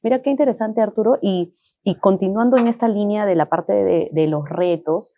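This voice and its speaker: A woman's voice: medium pitch, normal speed, dry recording. Female host, attentive, affirming, dry slightly muffled recording. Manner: attentive, frequent short affirmations. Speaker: interview host. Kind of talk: interview hosting.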